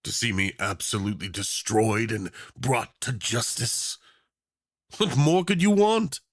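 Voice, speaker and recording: A man's voice: mid-range voice, measured pace, dry recording, clean studio sound, close to an everyday voice. A man playing a charming, obsessive tyrant in a romantic villain roleplay, drawling theatrically with mock politeness, flirtation and playful menace. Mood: coaxing, intimate.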